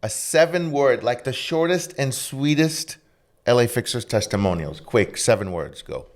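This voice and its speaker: A man hosting a podcast chat, talking in a loose, animated way full of fillers. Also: medium pitch, moderate pace. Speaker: podcast host. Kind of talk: casual chat.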